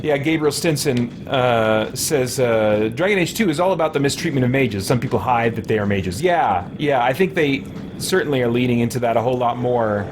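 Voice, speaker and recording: Male gaming streamer, mid-pitched, casual and rambling commentary, clear game noise behind him. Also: normal speed. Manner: rambling, musing aloud. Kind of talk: casual let's play commentary. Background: video game sound.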